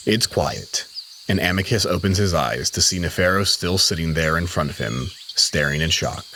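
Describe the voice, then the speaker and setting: A man reading scripted dramatic fiction as narrator, in a solemn and measured manner. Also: low voice, lively intonation, pushed and bright, a little hiss or hum.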